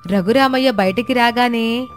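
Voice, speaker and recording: Casting: female storyteller, fairly high voice, scripted narration over faint music. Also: normal speed.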